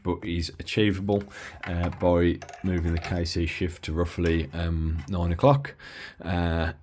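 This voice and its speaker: A man's voice: deep voice, darkish tone. A man giving a casual, unscripted technical demonstration as a hobbyist, explaining things in a hesitant, matter-of-fact way. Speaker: hobbyist demonstrating a used CB radio.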